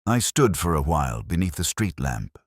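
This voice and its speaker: Male narrator for literary detective fiction: extremely low pitch, expressive range, measured and brooding, close dry sound. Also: no room sound. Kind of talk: scripted first-person detective story narration.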